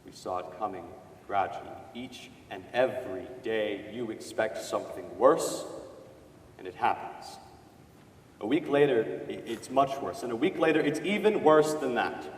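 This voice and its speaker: A man's voice: lowish voice, moderate pace. A man giving a scripted dramatic reading as one of a group of readers. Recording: distant mic in echoey hall.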